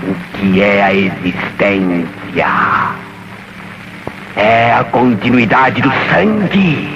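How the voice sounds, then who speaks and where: A man voicing a solemn, cryptic figure in a slow, portentous audio drama prologue. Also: fairly low pitch, background noise. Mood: solemn, ominous. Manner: slow, portentous, drawn-out delivery. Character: solemn, cryptic male voice musing on blood and existence. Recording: dull lo-fi, radio-like, music behind. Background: music.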